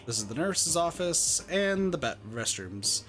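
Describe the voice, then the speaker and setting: A man's voice: fairly high pitch, sing-song, a little slow, over music. A man hosting a casual gameplay session, explaining things to his friends as he plays. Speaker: host playing a visual novel with friends.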